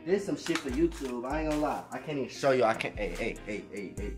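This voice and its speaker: A man's voice: medium pitch, expressive, fast talker, recorded from a distance. A man in his twenties with an American accent, giving a casual, slangy vlog tour and often repeating phrases for emphasis. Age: 20s. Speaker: vlogger giving an apartment tour. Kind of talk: casual vlog chat to viewers. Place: small empty apartment indoors. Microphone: handheld camera a few steps away. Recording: echoey empty room, off-mic, music behind.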